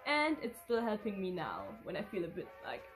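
A woman's voice: medium pitch, big pitch swings, brisk, soft background music, low-quality recording. A young woman in her twenties, a native German speaker, reading fan questions aloud and answering off the cuff in a casual vlog from her living room. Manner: chatty, reads questions aloud then rambles off the cuff. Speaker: vintage fashion vlogger. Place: living room with red curtain and sofa.

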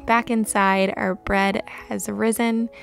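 Young female narrator, calm and gentle, slight fry, light music bed. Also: medium pitch. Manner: gentle, unhurried, with soft laughs. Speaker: homesteading vlogger. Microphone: voice-over recorded separately.

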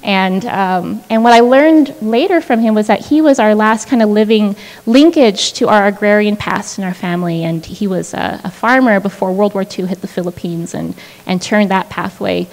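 A woman's voice: mid-range voice, moderate pace, darkish tone. A woman in her thirties giving an earnest, reflective acceptance speech at a podium, with frequent restarts and fillers. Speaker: prize winner giving a speech. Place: large indoor event hall, at a podium. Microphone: podium microphone on a stand. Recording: long reverb of a large hall.

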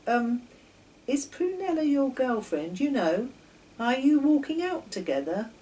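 A woman voicing a shy, hesitant male toothbrush in a children's story, speaking politely and haltingly. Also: high-pitched, big pitch swings, warm tone, pitched above the performer's own voice. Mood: nervous probing. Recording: distant mic, noticeable background noise.

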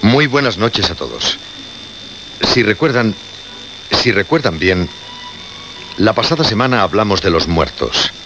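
An actor playing a solemn, ominous radio host of the occult in a horror radio play, with deliberate dramatic pauses and repetitions. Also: male voice, fairly low pitch, lively intonation, pushed and bright, faint music behind, exaggerated voice. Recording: muffled, noisy vintage radio recording.

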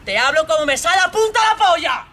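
A woman's voice: a little high, fast talker, sharp tone, a little hiss or hum. A woman voicing a jealous, bullying young boyfriend from a stage monologue, with a Spain accent, domineering and badgering with plenty of swearing. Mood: exasperated, bullying. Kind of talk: theatrical monologue role-play.

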